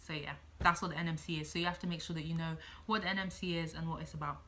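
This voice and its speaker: A woman in her late teens speaks in a bright, crisp-edged voice pitched a little low. She sounds like a student vlogger giving casual advice, chatting freely, thinking aloud and circling back over her points. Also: steady tone, racing, a little hiss or hum. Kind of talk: casual advice vlog, talking to camera.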